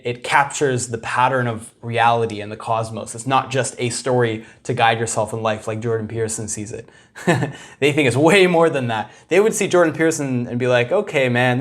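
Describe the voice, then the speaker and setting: A young man talking in a casual, loose way as he comments on a clip. He hesitates, restarts and laughs often, with a middle-pitched voice. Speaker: commentator, video host.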